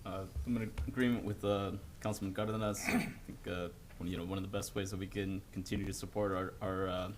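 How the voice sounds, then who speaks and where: A man with a lowish voice speaks as a council member, friendly and a bit hesitant, with plenty of fillers. Faint background noise sits under his words. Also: level tone, fast, hardly any pauses.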